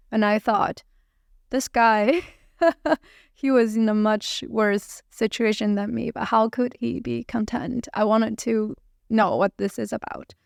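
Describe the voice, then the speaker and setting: A woman in her thirties from China with a Chinese accent, speaking as a translator guest in a casual interview, hesitant with many fillers and soft laughs. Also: fairly high pitch, gravelly, no room sound, studio quality.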